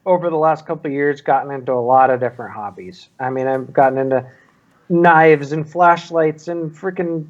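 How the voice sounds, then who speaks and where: A man's voice: on the high side, moderate pace, highs cut off. An American man chatting casually in a rambling, conversational way with lots of filler phrases. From United States. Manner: rambling, frequent "like" and "you know". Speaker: vaping talk show panelist. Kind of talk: casual chat.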